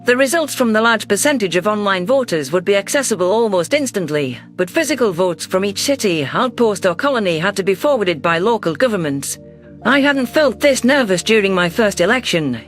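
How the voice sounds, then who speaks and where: Audiobook narrator for science fiction: medium pitch, scripted first-person storytelling, light music bed. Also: fairly bright tone.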